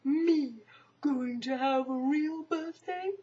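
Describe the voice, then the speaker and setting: A woman voicing a gloomy, mournful male donkey with slow, drawn-out speech. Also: high voice, warm tone. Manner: slow, drawn-out, glum delivery. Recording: dull lo-fi recording.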